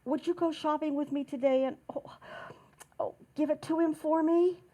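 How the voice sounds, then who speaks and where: A woman's voice: sits high, steady tone, normal speed, dark tone, lightly acted. A woman playing a bashful, sentimental household maid in 1940s radio comedy, hesitant and dreamy in manner. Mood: nervous, eager.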